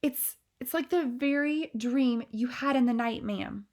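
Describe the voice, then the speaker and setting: A woman's voice: sits high, a little slow, voice pushed up. A woman reading a storybook aloud, voicing a nervous maid who stammers and defers. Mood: nervous, deferential.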